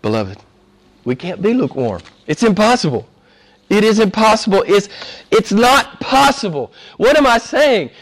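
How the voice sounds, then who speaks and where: A man preaching a sermon with fervor, hoarse from a cold and losing his voice, dropping to a hush and rising to shouts. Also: sits high, sing-song, moderate pace. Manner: fervent, swings from hushed to shouting. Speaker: pastor.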